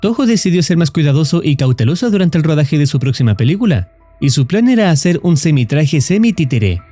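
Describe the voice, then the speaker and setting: A man's voice: on the high side, very animated, brisk, mellow. A man reading scripted narration as the narrator of a horror story recap video. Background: background music.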